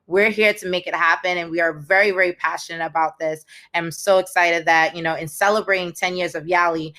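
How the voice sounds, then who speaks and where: A woman's voice: fairly low pitch, a little fast. A young woman with an American accent, speaking energetically as a panelist on a video call. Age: young adult. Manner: energetic, emphatic, repeats words.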